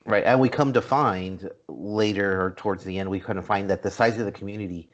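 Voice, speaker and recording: A man's voice: lowish voice, a little fast, highs cut off. A man talking casually as a podcast host, discussing a film in a conversational way.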